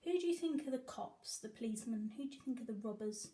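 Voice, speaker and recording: A woman teacher reading a picture book aloud to young children, with animated, sing-song emphasis. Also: a little high, quick pace, slight background noise. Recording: echoey room.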